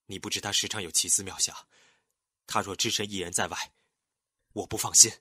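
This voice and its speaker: An actor playing a grieving, lovelorn immortal man in a fantasy audio drama, husky and intensely emotional. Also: low-pitched, fairly even intonation, fairly quick, sharp tone, full character voice. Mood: anxious, tender.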